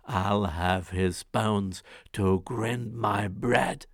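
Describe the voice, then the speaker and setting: A man voicing a huge, greedy male ogre with a slow, booming growl. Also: fairly even intonation, no echo, clean studio sound, full character voice. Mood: menacing.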